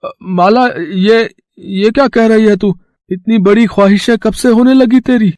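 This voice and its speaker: Storybook husband: very high-voiced humble labourer, caring, earnest, plain-spoken, dry recording, acted by a man. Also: steady tone, measured pace, darkish tone, dry recording. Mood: taken aback.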